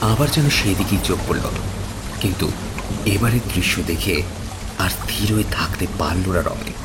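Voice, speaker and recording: A man narrating a scripted horror story in a suspenseful, dramatic storytelling manner. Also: low voice. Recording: clear background ambience noise.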